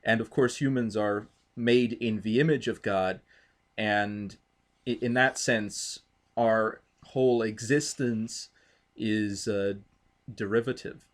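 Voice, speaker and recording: A man explaining theology thoughtfully in conversation, deliberate and halting, with formal wording. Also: on the low side, fairly even intonation. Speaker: interviewer.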